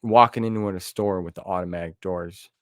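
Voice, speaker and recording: A man's voice: low-pitched, steady tone, fairly quick, no echo, clean studio sound. A middle-aged American man hosting a podcast, chatting casually in a folksy, plain-spoken way. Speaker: podcast host. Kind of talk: casual chat.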